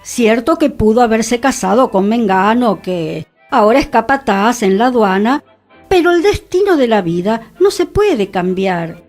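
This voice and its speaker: A woman reading a literary story in a measured, deliberate way. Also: fairly high pitch, animated, a little mellow, faint music behind.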